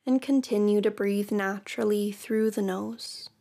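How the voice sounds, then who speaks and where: A woman teaching yoga nidra, guiding a relaxation practice in a soft, soothing way with long pauses. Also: medium pitch, steady tone, creaky. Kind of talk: guided meditation.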